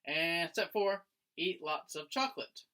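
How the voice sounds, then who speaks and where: A man's voice: a little high, a little slow, pushed and bright. A man reading a children's picture book aloud like a teacher, expressively and with friendly address to young listeners. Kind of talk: animated children's read-aloud.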